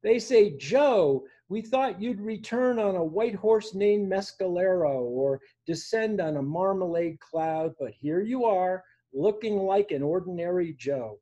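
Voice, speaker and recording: A man in his sixties reading his own poems for an online open mic, with a measured, deliberate delivery. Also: sits high, lively intonation, dark tone, lo-fi sound. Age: 60s. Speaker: poet reading own work. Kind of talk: poetry reading at a virtual open mic, with informal introductions. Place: small room indoors at home.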